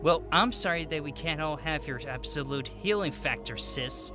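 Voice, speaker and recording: A man playing a cheeky, impulsive teenage boy swordsman in an anime-style audio drama, bantering expressively and quick to protest. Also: on the high side, big pitch swings, music in the background. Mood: teasing, exasperated.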